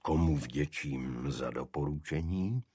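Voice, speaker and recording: A man voicing a small, dignified aristocratic cult leader in an audiobook, with a deep voice and a monotone, chant-like delivery. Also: breathy edge, dry recording. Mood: courteous, formal. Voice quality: unexpectedly deep. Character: small energetic nobleman, cult leader, dignified, secretive zealot.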